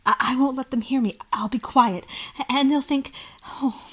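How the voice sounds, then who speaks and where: A woman's voice: high-pitched, moderate pace, fairly bright tone, breathy edge. A woman playing a frightened wife on the telephone in an old-time radio thriller, breathless, stammering and pleading. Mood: hushed dread. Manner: breathless, stammering, gasping, melodramatic.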